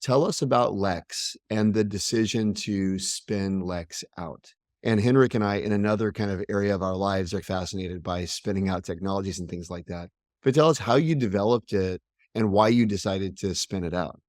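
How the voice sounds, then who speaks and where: A man's voice: deep voice, normal speed, darkish tone, no room sound, studio quality. A man co-hosting a podcast interview, who asks questions in a casual way and steers the talk. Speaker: podcast co-host. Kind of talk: conversational interview.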